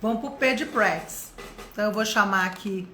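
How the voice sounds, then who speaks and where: A Brazilian woman teaching English in a live online lesson, lively and encouraging, explaining step by step. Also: mid-range voice, a little fast, roomy sound, a little hiss or hum. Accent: Brazilian Portuguese.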